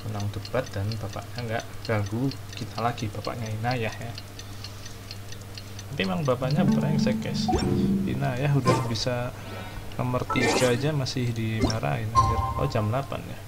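A man's voice: medium pitch, fairly even intonation, a little fast, creaky. An Indonesian-accented man giving casual, slangy gameplay commentary as a streamer, reacting to what happens in the game. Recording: loud game music behind voice. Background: video game music and sound effects.

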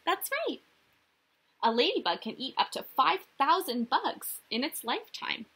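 A young woman reading a picture book aloud to small children like a teacher, expressive and sing-song, often putting questions to them. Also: high voice, a little slow. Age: young adult. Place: small indoor room.

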